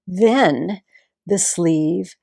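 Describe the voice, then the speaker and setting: A woman's voice: lowish voice, drawn-out words, vocal fry, warm tone. A woman with a Texas accent casually walking through a sewing alteration, admiring and carefully explaining details as she handles a dress. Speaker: seamstress host. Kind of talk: casual show-and-tell sewing video.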